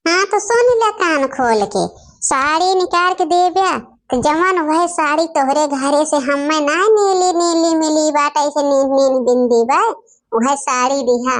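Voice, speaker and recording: Woman acting a high-pitched, scolding Awadhi village wife. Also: a little slow. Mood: indignant. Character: hot-tempered village wife guarding her saris. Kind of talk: homemade comic cartoon dialogue.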